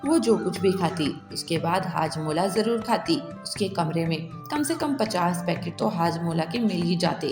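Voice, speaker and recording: A woman's voice: lowish voice, animated, normal speed, few pauses. A woman reading scripted narration for a cartoon story. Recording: echoey reverb with clear music behind.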